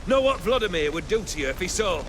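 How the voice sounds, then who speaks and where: A man's voice: high-pitched, very animated, quick pace, noisy background. An actor playing a cynical, imperious bandit nobleman in a video game, with theatrical, sardonic and ornate delivery. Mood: contemptuous, angry. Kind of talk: video game cutscene acting.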